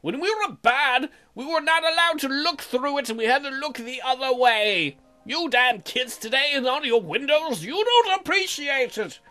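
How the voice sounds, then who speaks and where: A man voicing a nostalgic old colonist who grumbles at spoiled youngsters in a cranky, drawn-out way. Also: very high voice, animated, pushed and bright, faint music behind, pitched above the performer's own voice, exaggerated voice.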